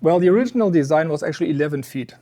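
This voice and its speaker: A man giving an informal technical explanation of a product as a company representative, measured and explanatory, pausing between phrases. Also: a little high, animated, dark tone. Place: exhibit room at an audio trade show.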